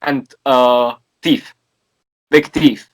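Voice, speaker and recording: A man's voice: medium pitch, steady tone, drawn-out words, low-quality recording. A man from Czechia in a casual video chat, patiently repeating and explaining words. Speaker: language exchange participant.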